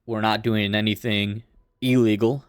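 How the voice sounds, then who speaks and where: A man playing a tabletop roleplaying game, improvising his character's lines in a measured, deliberate way, in short phrases. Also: on the low side, little pitch movement, clean tone, no echo, studio quality.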